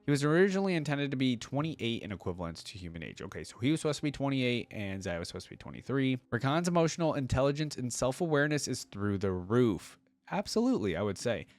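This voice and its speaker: A man's voice: medium pitch, sing-song, fast talker, no echo. A man hosting a reaction video with casual, conversational commentary.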